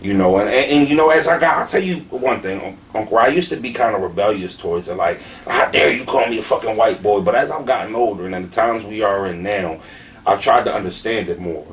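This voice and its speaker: A young man with a Philadelphia accent speaks in a fairly low voice. He talks candidly and emphatically, circling back on his phrases with plenty of slang and cursing. The mic sits at a distance, with faint noise behind him. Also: quick pace. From Southeastern Pennsylvania, USA. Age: late 20s. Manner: emphatic, repetitive, peppered with slang and swearing. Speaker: battle rapper being interviewed. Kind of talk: candid conversational interview.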